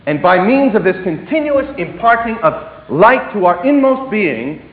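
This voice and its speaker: High, animated preacher on a tinny recording. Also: male voice, normal speed. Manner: emphatic, dramatic swings between loud and hushed delivery. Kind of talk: sermon. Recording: echoey hall, tinny phone-like sound.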